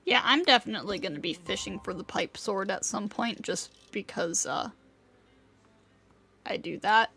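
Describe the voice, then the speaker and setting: A woman's voice: medium pitch, animated, normal speed, creaky, sharp tone, breathy edge. A woman game streamer giving casual live commentary, musing aloud to herself as she plays. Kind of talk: casual livestream gameplay commentary. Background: faint game music.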